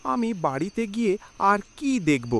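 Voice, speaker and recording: A man's voice: high voice, big pitch swings, moderate pace, vocal fry, a bit bright, heavily acted. A man voicing a poor, fretful woodcutter and father in a cartoon, dramatic and pleading in his delivery. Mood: resigned. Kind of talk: cartoon voice acting.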